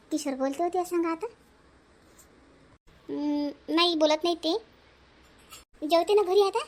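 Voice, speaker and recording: A woman voicing village women in a family cartoon, bickering in-laws, speaking Marathi with a Varhadi accent. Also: very high-pitched.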